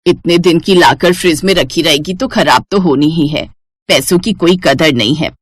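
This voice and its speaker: A woman's voice: deep voice, normal speed, voice pushed down. A woman voicing a stern, grumbling old mother-in-law in a scolding manner for a cartoon moral story. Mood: disapproving. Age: older. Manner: scolding, complaining.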